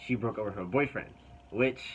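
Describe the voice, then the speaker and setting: A man's voice: lowish voice, a little fast, muffled recording, a little hiss or hum. A young man casually telling a personal story to camera, hesitant and rambling with many fillers.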